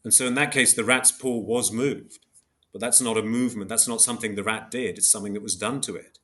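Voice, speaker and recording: A man with a fairly low voice speaks as an academic guest in an interview. He sets out distinctions precisely, hesitating and rewording himself as he builds each explanation. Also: fairly bright tone. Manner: hesitant, precise, self-correcting. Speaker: philosopher guest, book author.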